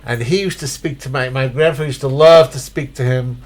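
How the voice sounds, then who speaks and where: A middle-aged man at mid pitch chats about his family. He talks casually, tripping over his first words before finding his point. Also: brisk. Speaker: interviewee's son. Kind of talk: casual conversation.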